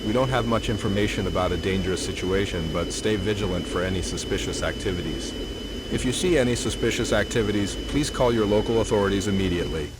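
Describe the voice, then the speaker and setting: A man's voice: fairly low pitch, fast talker, heavy background noise, close to an everyday voice. A man playing an emergency alert announcer, reading a scripted public warning in a formal, official manner. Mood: official, grave.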